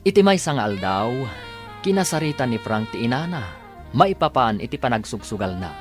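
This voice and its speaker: An Ilocano-accented man narrates a radio drama with music behind him. His mid-pitched voice rises and falls widely in a dramatic announcer's delivery. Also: big pitch swings, brisk.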